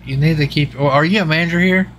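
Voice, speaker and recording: A man's voice: on the high side, fast talker, fairly bright tone. A man improvising as a law officer in an online game, asking questions in a blunt, informal way. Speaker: roleplayer playing a law officer. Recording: faintly noisy game voice chat.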